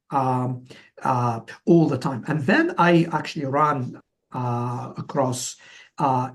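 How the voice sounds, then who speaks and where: A man in his forties from Algeria answering as an interview guest, telling his story conversationally in a halting way, often repeating words and restarting. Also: medium pitch. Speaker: interview guest, company founder.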